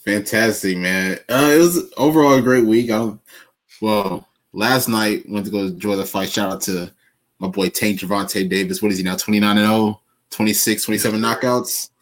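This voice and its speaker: A man with a low voice talks sports over a video call. He sounds relaxed and full of slang, and gets animated when a point fires him up. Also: normal speed. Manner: laid-back slang, animated. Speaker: podcast co-host. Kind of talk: casual sports chat.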